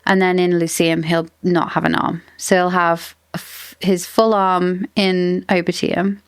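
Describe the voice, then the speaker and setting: A woman's voice: on the low side, little pitch movement, a little slow, smooth. A woman co-hosting a casual book-club podcast, thinking aloud as she builds theories. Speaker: podcast co-host.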